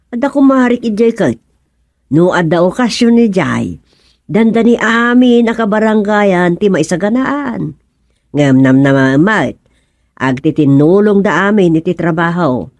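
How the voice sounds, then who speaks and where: A woman's voice: mid-range voice, animated, unhurried, darkish tone, dry recording. A woman playing a chatty, teasing village wife in a radio comedy drama, with an Ilocano accent.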